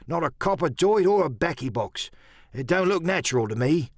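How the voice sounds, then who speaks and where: A man voicing a bold, suspicious pirate seaman in an audiobook, with a theatrical rough sailor dialect. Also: on the high side, animated, moderate pace, no echo, clean studio sound, pitched above the performer's own voice. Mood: wary, probing. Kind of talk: audiobook character acting.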